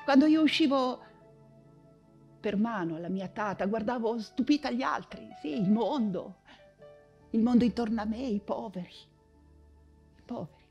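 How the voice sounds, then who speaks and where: An actress playing an imprisoned, nostalgic Russian exile writer in an intense, halting theatrical monologue, repeating words for emphasis. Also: female voice, fairly high pitch, lively intonation, darkish tone, highs cut off. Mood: wistful, remembering. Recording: music under the voice. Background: music.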